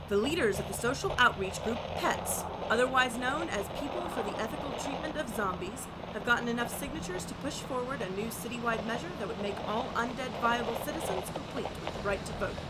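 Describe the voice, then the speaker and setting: A woman plays a polished TV news anchor with a crisp newsreader delivery. Her voice is a touch high. Loud noise sits behind her. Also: close to an everyday voice.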